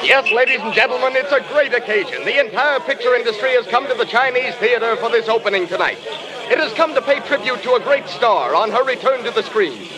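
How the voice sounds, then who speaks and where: An old radio recording with a crowd behind. an excitable, high-voiced male premiere reporter, giving live commentary in 1930s radio drama manner. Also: brisk, low-quality recording. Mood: excited, proud.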